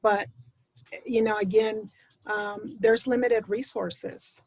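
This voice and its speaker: A woman with a husky, creaky voice speaks as a clinician in a panel discussion. The sound is dry, and she goes hesitantly, working out her thoughts aloud. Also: on the high side, steady tone, slow pace, a little mellow, dry recording, low-quality recording.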